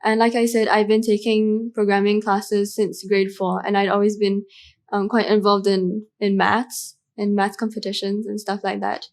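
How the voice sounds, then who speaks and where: A woman's voice: mid-range voice, darkish tone. A young woman from Malaysia, a student guest in an interview, answering thoughtfully with frequent fillers.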